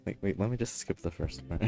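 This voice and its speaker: A man's voice: on the low side, moderate pace, creaky, dark tone. A man co-hosting a game livestream in casual, joking banter. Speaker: game streamer, co-host.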